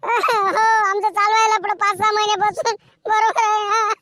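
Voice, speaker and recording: A man's voice: very high-pitched, little pitch movement, normal speed, bright, forceful tone. A man voicing a tearful, pleading young village girl in a cartoon comedy.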